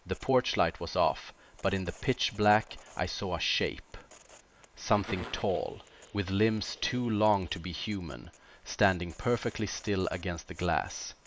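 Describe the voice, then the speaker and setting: A man delivers scripted first-person horror narration in deliberate short phrases with dramatic pauses. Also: deep voice, a little hiss or hum.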